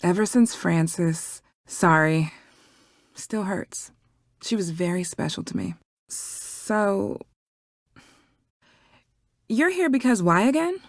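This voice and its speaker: A woman's voice: mid-range voice, expressive, slow pace, long pauses, no room sound, clean studio sound. An actress playing a guarded, weary, grieving woman in a video game, speaking curtly. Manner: curt, guarded.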